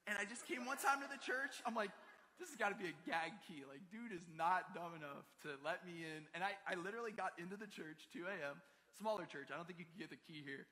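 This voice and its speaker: A man's voice: high voice, fast. Slangy man preaching in a reverberant hall. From Maryland, United States. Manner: casual, slangy, animated storytelling with acted-out quotes. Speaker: pastor. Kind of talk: conversational sermon with personal stories. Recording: long reverb of a large hall.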